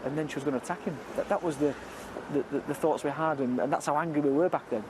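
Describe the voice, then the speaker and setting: A man in his fifties with a northern English accent, haltingly recalling the past in an interview. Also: on the high side. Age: 50s. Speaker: interviewee, relative of a victim. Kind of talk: interview recollection. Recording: noisy outdoor location with traffic hum.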